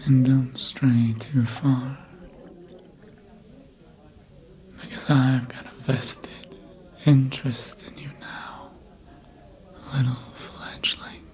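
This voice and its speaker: A performer voicing a seductive, calculating vampire mentor in a slow, deliberate, intimate whisper for an ASMR roleplay. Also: mid-range voice, level tone, a little mellow, natural voice. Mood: intimate farewell.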